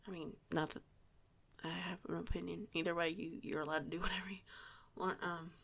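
Eager pack newcomer played by a woman: young, awkward female werewolf, lowish gravelly fry, halting informal chatter for audio drama. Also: flat delivery, a bit bright, full character voice. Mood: hesitant, curious. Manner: hesitant, rambling, self-conscious, informal. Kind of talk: audio roleplay acting, casual dialogue.

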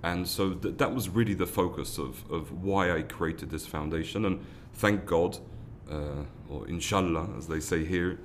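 A man's voice: low-pitched, unhurried, hardly any pauses, slight background noise. A man, a foundation founder giving earnest interview answers, often restarting and repeating words. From London, England. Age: 30s.

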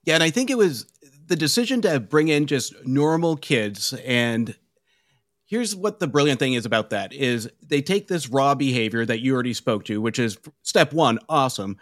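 A man's voice: mid-range voice, lively intonation, moderate pace, a bit bright, no room sound, clean studio sound. A man guesting on a podcast, talking through a film in a thoughtful, casual way.

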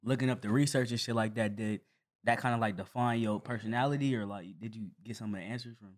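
A man's voice: lowish voice, fast. A man co-hosting a casual podcast who asks questions and keeps saying "you feel me". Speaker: podcast co-host. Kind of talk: casual chat.

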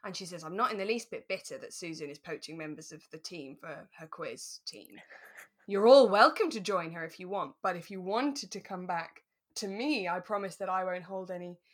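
A woman's voice: lowish voice, lively intonation, moderate pace. A woman hosting a podcast in casual, chatty, giggly conversation about a radio drama. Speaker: podcast host.

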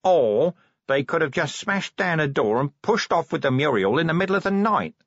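Man as a chirpy high-voiced corporal. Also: sing-song, normal speed, dry recording, muffled recording. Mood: blunt. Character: cheeky, practical, cheerful male police corporal sidekick.

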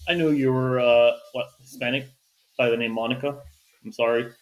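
A man holds forth at a home dining table in a relaxed group conversation. He speaks with conviction and is quick to set others straight. His voice sits at a middling pitch. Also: low-quality recording. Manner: emphatic, quick to correct others. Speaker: guest. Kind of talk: casual group chat.

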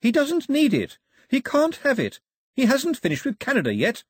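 A man's voice: fairly high pitch, big pitch swings, normal speed, slightly rough, no echo, studio quality, voice pushed up. A man reading aloud and voicing a headstrong, fiery young woman who speaks passionately and defiantly.

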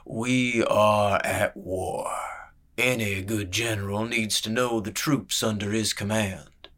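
A man voicing a paunchy middle-aged spymaster in an audiobook, who talks in a slow, quiet murmur with a veiled threat under it. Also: on the low side, fairly even intonation. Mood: cold. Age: 50s. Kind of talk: audiobook character voice.

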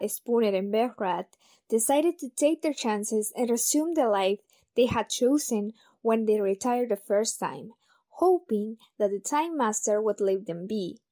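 A woman's voice: medium pitch, darkish tone, lightly acted. A woman playing an upbeat, proud team member who narrates events in an audio drama.

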